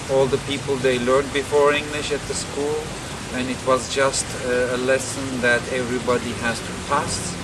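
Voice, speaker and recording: Man, Turkish accent, hesitant, monotone delivery, distant mic, loud background hum. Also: medium pitch. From born in Germany, raised in Turkey. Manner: halting, many fillers and restarts. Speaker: video lesson creator. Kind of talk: casual personal talk.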